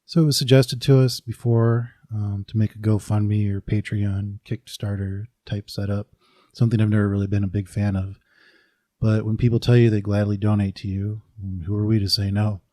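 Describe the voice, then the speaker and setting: Podcast host, male: low and mellow, conversational announcer read, dry sound. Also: flat delivery, moderate pace, no room sound.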